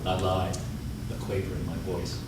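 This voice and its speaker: A man reading poems aloud at a live event in a measured, declaimed way. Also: low voice, completely flat delivery. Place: auditorium stage at a public reading. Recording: echoey auditorium, distant mic, noisy.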